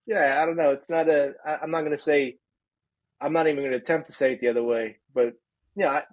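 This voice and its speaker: Husky-voiced Philly man, wry and easygoing. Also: on the high side, fairly even intonation, fast, dry recording, muffled recording. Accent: Philadelphia. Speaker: podcast co-host. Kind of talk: casual chat.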